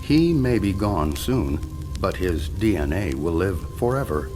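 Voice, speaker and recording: Alien chief: deep, measured, condescending menace, radio drama with prominent music bed. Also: male voice, big pitch swings. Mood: calm menace. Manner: measured, falsely reassuring, condescending. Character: calm, sinister alien leader posing as UFO researcher. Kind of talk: audio drama acting. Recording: loud music under the voice.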